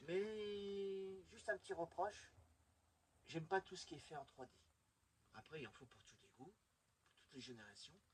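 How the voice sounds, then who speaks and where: A man's voice: a little high, moderate pace, slightly rough. A middle-aged man chatting enthusiastically and informally to viewers as he presents a hobby vlog. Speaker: video presenter showing a collection.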